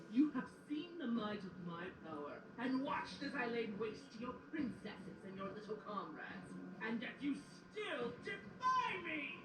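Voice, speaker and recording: A woman acting a menacing pony villainess with dark magic in an audio drama, speaking in a grandiose, scornful way. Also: high voice, background noise. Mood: furious menace. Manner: grandiose, scornful theatrical delivery. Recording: roomy, distant playback under music.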